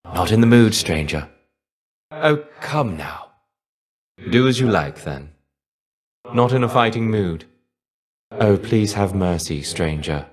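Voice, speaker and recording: A tired ghostly greek warrior from a game, low slow voice, wistful and kinda dry, resigned. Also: male voice, animated. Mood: weary, reluctant. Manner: measured, wistful, resigned, dryly understated. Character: weary, melancholy shade of a fallen ancient Greek warrior. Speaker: voice actor in a video game. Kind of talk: video game character voice lines.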